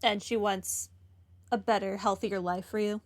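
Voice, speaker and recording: Female game commentator: chatty, wry, off-the-cuff, medium pitch. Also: steady tone, moderate pace. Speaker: video game streamer. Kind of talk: casual playthrough commentary.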